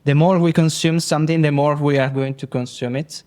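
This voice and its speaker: A man with an Italian accent, a software engineer guest, speaking hesitantly with many fillers and repeats. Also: fairly high pitch, steady tone, brisk. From Italy. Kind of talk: casual interview talk.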